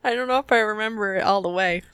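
A woman's voice: mid-range voice, fast talker, fairly bright tone, clear, resonant tone, no echo, rough recording. A woman in her thirties telling a story casually. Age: 30s.

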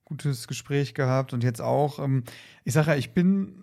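A man's voice: mid-range voice, measured pace, creaky, a little mellow. A man co-hosting a podcast, talking casually and hesitantly as he searches for words. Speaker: podcast co-host.

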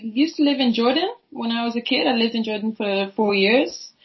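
A woman's voice: on the high side, normal speed, slightly rough, highs cut off. A woman chatting casually about learning languages, answering in a friendly way with short replies. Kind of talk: casual conversation.

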